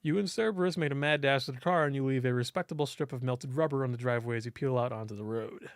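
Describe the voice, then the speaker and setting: A man reading a visual novel aloud as host, in expressive scripted narration. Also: mid-range voice, quick pace, clear, resonant tone, dry recording, studio quality.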